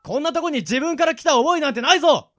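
An actor playing a rough-spoken, hot-tempered young male captive in a radio drama, defiant and blunt. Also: sits high, expressive, fast, fairly bright tone. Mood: angry, panicked. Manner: blunt, rough masculine speech.

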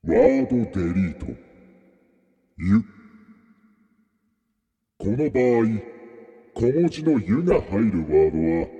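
An actor playing a formal, polite game master who announces the rules of a deadly game in a radio drama. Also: male voice, mid-range voice, big pitch swings, takes it slowly, long pauses, warm tone, highs cut off. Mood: coolly official. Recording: long reverb with music underneath.

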